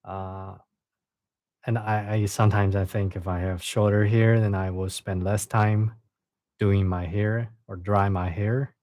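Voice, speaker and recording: Man, Chinese accent, native Chinese speaker, casual live-stream chat, hesitant, repeats words, lowish voice, dry close sound. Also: flat delivery, measured pace, a little mellow, no echo. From China. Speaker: live streamer reading stories.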